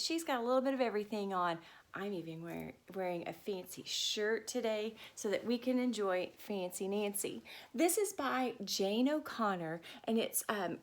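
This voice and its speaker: A woman with a high voice leads a children's story time. She is animated, pausing in her read-aloud to ask questions and explain words to young listeners. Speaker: storyteller, former teacher.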